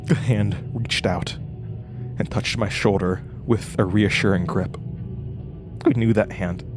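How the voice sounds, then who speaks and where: Actor playing a haunted, low-voiced stammering man. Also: steady tone, a little slow, music in the background, close to an everyday voice. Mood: haunted, grim. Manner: stammering, repeating words. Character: haunted, panicky young male radio-show narrator recounting horrors. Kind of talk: audio drama first-person horror narration.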